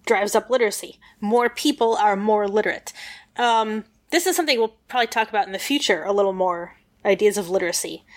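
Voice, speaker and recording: A woman's voice: mid-range voice, brisk. A woman in a casual podcast chat, explaining history with lots of fillers and rambling asides.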